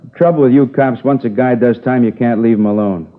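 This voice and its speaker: A man's voice: medium pitch, brisk, close to an everyday voice. An actor playing a sullen, aging male ex-convict who answers police questions in a whiny, evasive, complaining way in a 1950s radio crime drama. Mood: defensive. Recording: tinny narrow-band old radio transcription.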